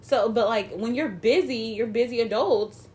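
A woman's voice: a little high, moderate pace, a little mellow. A woman around thirty in casual chat, talking loosely with lots of filler words. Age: 30s.

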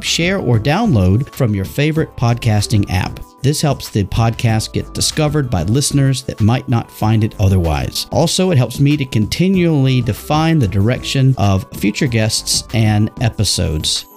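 A man's voice: mid-range voice, big pitch swings, moderate pace, hardly any pauses, darkish tone, faint music behind. A man hosting a podcast, reading his intro and interviewing a guest in an upbeat, enthusiastic way. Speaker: podcast host.

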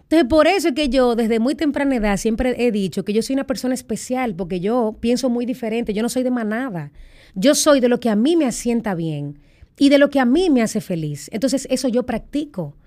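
Animated Dominican woman, expressive voice. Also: a little high, fairly quick. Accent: Dominican Spanish. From Dominican Republic. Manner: lively, animated storytelling with quoted voices. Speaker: guest. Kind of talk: candid interview conversation.

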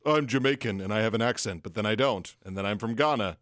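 A man's voice: lowish voice, fast talker, fairly bright tone, dry recording, clean studio sound. A man co-hosting a wrestling podcast in casual chat, rambling through trivia in a wry tone. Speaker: podcast co-host. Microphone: studio microphone.

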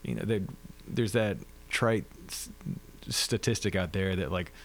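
A man with a low-register voice, a musician guest chatting easily and thoughtfully in an interview. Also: a little slow. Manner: relaxed, thoughtful, frequent "like" fillers. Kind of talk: casual interview chat.

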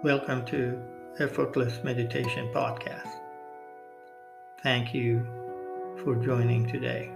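A man hosting a guided meditation podcast, speaking non-native English in short, measured phrases with long pauses. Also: medium pitch, little pitch movement, creaky. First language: not English. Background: music.

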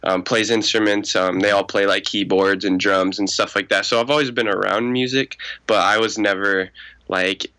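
A man in his twenties with a voice raspy from a cold, answering questions in a laid-back, rambling way with many fillers. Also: lowish voice, fairly even intonation, moderate pace, bright, forceful tone. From Arizona. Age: 20s. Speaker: guest, music producer. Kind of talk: casual podcast interview.

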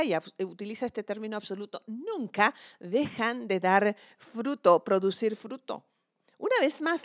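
A woman's voice: a little high, no room sound, clean studio sound. A woman giving a conversational devotional sermon, reflective and emphatic, drawing out key words. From southern Chile.

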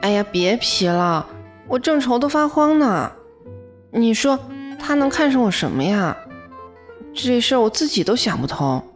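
A woman's voice: on the high side, sing-song, moderate pace. Young office woman over music. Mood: anxious, unsure. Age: young adult. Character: young female office worker, modest, unsure in love. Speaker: narrator and lead actress. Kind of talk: first-person audio drama narration and dialogue. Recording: music bed behind the voice.

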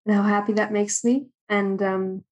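Even-toned woman from Germany. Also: mid-range voice, moderate pace, highs cut off. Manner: soft-spoken with many ums. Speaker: guest. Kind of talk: casual interview chat.

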